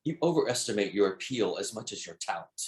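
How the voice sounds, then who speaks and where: A man's voice: lowish voice, animated, fast talker, a little mellow, echoey room, close to an everyday voice. A man playing a sardonic, petulant brother-in-law in a period drama table read, formal and cutting.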